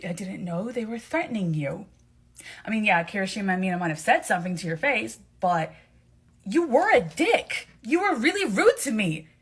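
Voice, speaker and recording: A woman playing a proud, wounded young man in an amateur audio drama, blunt, emphatic and sweary, with a husky voice. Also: medium pitch, expressive, a little fast. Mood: hurt, scornful.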